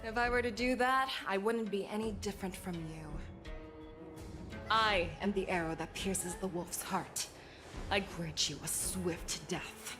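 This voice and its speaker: An actress playing a stern, resolute female general in a video game, commanding, solemn and measured. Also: medium pitch, over music, natural voice. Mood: grim, resolute. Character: stern, resolute female warrior general, cool-headed hunter. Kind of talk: dramatic video game voice acting.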